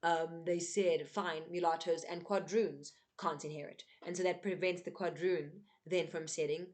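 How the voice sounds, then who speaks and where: Earnest South African woman, slightly low. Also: fairly even intonation, moderate pace, darkish tone. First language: not English. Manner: earnest, emphatic, with frequent "um" and "right?". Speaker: vlogger. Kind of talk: unscripted commentary to camera.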